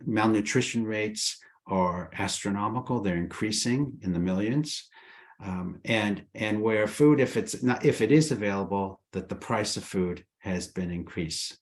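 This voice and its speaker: A man speaking at a panel on humanitarian relief, hesitant with frequent fillers and restarts. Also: on the low side. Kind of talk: panel talk.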